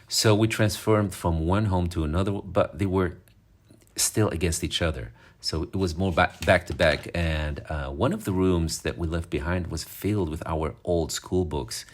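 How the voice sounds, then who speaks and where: A man from Morocco, talking casually and fondly as he leafs through an old magazine, rambling with fillers and restarts. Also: extremely low pitch. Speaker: vlogger. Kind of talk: casual show-and-tell vlog.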